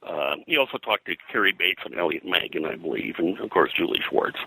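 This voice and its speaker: Older male guest, deep and very bright, close dry sound, casual halting raconteur. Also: very animated, no room sound, rough recording. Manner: halting, digressive storytelling with frequent throat clearing. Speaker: interview guest, comics colorist and pop culture historian. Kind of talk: casual reminiscing interview.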